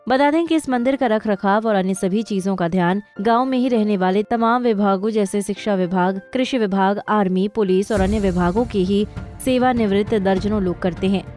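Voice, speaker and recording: Mellow-voiced woman narrating news over music. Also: medium pitch, moderate pace.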